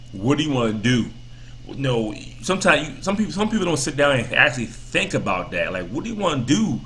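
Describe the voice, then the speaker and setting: A man's voice: mid-range voice, fairly bright tone. A man in his thirties giving a casual, rambling motivational talk as a vlogger, full of repeats and "you know" fillers. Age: 30s.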